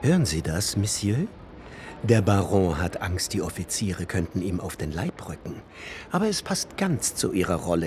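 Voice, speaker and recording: An actor playing a pedantic, talkative learned gentleman in a period radio drama, teasing and lecturing theatrically. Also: deep voice, slight background noise.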